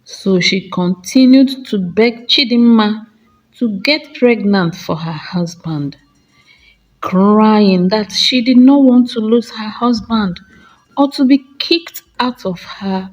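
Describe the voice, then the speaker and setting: A woman tells a folktale as a scripted story. She takes her time, speaking slowly in short, measured phrases with a dramatic storyteller's delivery. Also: medium pitch, highs cut off.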